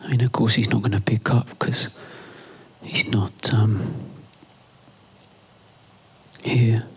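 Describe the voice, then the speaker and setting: A man speaks a reflective monologue in a very husky, breathy voice. It sits a little low, and he moves hesitantly through short, conversational phrases, as if thinking aloud. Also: little pitch movement, slow pace. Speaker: performer. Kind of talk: performed spoken monologue, conversational.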